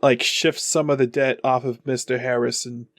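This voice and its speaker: A man's voice: mid-range voice, flat delivery, moderate pace, no echo, clean studio sound, lightly acted. Man playing a stammering, sheepish sidekick. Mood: sheepish, nervous. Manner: hesitant, stammering, rambling. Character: sheepish, bumbling, apologetic male sidekick.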